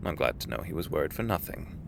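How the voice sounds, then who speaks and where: An actor playing a courteous middle-aged executive, avuncular and formal, with genteel, deliberate phrasing in an audio drama. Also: male voice, a bass voice, very animated, gravelly, background noise, full character voice. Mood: warm, pleased.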